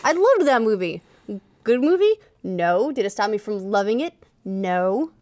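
Woman in her 30s, casual gaming podcast cohost, medium pitch, really dry mic. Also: very animated, unhurried, darkish tone, dry recording. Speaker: podcast co-host. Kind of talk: casual panel chat about gaming. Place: indoor podcast studio. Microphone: close studio microphone on desk.

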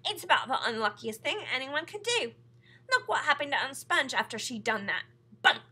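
Storybook centipede pest, played by a woman: brash, gleeful male bug, loud theatrical delivery, high forceful tone. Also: animated, measured pace, slightly rough, heavily acted. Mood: excited, gloating. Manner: exuberant, shouting, theatrical. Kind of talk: children's storybook character reading.

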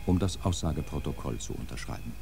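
Casting: grave horror audio-drama narrator, deep voice over prominent music. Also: male voice, expressive, fast talker, vocal fry, dark tone, full character voice.

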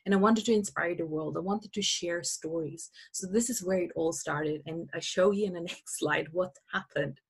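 A woman's voice: on the low side, normal speed, hardly any pauses, breathy edge. A woman from Austria, not an English speaker, hosting an online summit in a chatty presentation with many fillers, over a webcam on a video call. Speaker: summit host. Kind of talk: webinar presentation. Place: indoor room.

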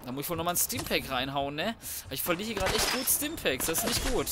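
A man's voice: high voice, big pitch swings, fast talker, very noisy. A man giving casual, colloquial let's play commentary, swearing and reacting aloud as he plays. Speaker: gaming YouTuber. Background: video game sound and music.

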